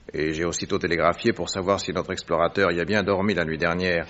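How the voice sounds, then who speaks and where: An actor playing a composed, shrewd detective with an incisive, courteous manner in a French radio drama. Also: male voice, deep voice, a bit bright. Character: composed, shrewd male detective, probing and politely ironic. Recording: dull, muffled vintage radio recording.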